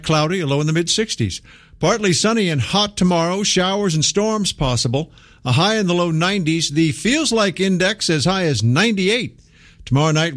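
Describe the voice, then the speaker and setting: A man reading a scripted weather forecast in clipped, measured phrases. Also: on the high side, a bit bright. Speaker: newsroom weather presenter.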